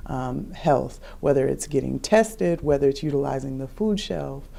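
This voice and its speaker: A woman's voice: rumbling low, normal speed, gravelly, warm tone. A woman hosting an interview, asking questions and responding to the guest. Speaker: host, interviewer.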